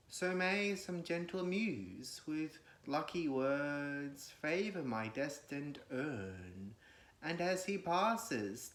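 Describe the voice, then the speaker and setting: Slow, echoey man reciting verse. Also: on the high side, fairly bright tone. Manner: solemn, declamatory, measured. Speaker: poetry reader. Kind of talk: dramatic recitation of classical verse. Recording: echoey room.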